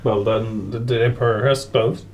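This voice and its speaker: A man's voice: fairly low pitch, steady tone, moderate pace, recorded from a distance, slight background noise. A man chatting casually and playfully as a player in a tabletop game.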